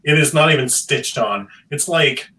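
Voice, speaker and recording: A man's voice: on the high side, normal speed, pushed and bright. A man speaking casually but emphatically and articulately as a panelist in a film discussion.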